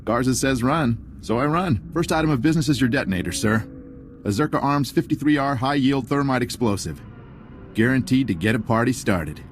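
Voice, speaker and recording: A man's voice: medium pitch, fairly quick, slight background noise, close to an everyday voice. An actor playing a cheerful male military sergeant in a video game, briefing his officer with upbeat wisecracks. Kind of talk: video game cutscene dialogue.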